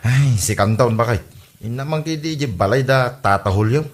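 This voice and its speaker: A man acting out an easygoing village husband in a comedy audio drama, bored at home and keen on a drink. He speaks with an Ilocano accent at a middling, everyday pitch. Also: moderate pace, natural voice. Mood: complaining. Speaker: actor in comedy radio drama.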